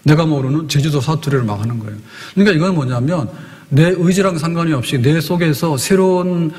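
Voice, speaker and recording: A man's voice: a little high, brisk, darkish tone. A man preaching a Sunday sermon as pastor, emphatic and often asking the congregation questions. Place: church sanctuary. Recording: long church reverb.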